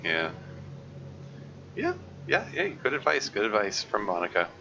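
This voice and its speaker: Rambling deep-voiced man streaming a game. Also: a little slow. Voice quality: deep bass voice kept in upper register. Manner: rambling, many restarts and chuckles. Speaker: let's play streamer. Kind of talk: casual game commentary. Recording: muffled lo-fi mic with steady hiss.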